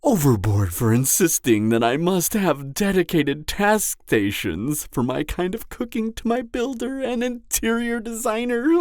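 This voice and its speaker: Male actor as gloating cannibal serial killer, audio drama. Pompous and theatrical, unhurried delivery, wildly varied intonation. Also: on the high side, a little mellow, no echo, studio quality. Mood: gloating, amused. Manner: theatrical, drawn-out phrasing with frequent chuckling. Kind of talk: roleplay audio drama monologue to the listener.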